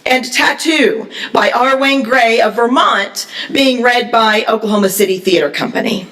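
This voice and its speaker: A woman's voice: fairly high pitch, normal speed, a bit bright. A woman announcing and reading scripted screenplay narration at a live table read. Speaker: announcer and stage-direction reader. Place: live festival table read, echoing room. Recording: echoey hall from far off.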